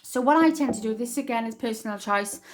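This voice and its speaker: A woman's voice: fairly high pitch, fast. A woman with a Welsh accent hosting a casual craft tutorial, chatting and thinking aloud as she works at her desk. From Wales. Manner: chatty, rambling, thinks aloud while working.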